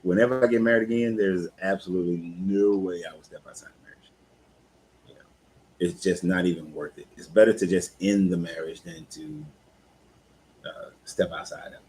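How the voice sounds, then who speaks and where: A man's voice: sits low, lively intonation, moderate pace, darkish tone, a little hiss or hum, rough recording. A man in his fifties, a life coach hosting a casual live talk, speaking candidly and in a laid-back, conversational way. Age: 50s. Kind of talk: casual live-stream chat.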